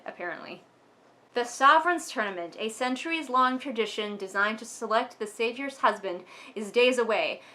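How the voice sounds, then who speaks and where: A woman's voice: medium pitch, pushed and bright. A woman hosting a book vlog, chatting casually to viewers and reading aloud with wry, sardonic asides. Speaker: book commentary vlogger and host.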